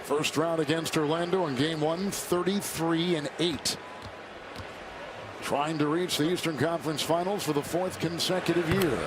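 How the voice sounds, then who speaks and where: A man's voice: on the high side, fairly even intonation, normal speed. A man giving live television play-by-play commentary on a basketball game. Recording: loud music and arena noise behind. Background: basketball arena sounds.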